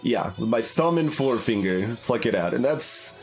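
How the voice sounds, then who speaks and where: A man's voice: medium pitch, animated, moderate pace, vocal fry, a little mellow. A man giving casual, analytical game commentary, thinking aloud in a hesitant way. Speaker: video game streamer. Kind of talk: casual analytical live playthrough commentary with poem read aloud. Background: music.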